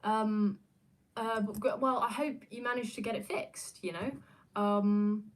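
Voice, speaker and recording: A woman's voice: mid-range voice, steady tone, a little slow. A young woman from New Zealand with an English accent, chatting casually and rambling through viewer comments with lots of fillers and restarts. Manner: rambling, self-deprecating, frequent fillers and restarts. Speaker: YouTuber reading viewer comments. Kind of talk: casual chat.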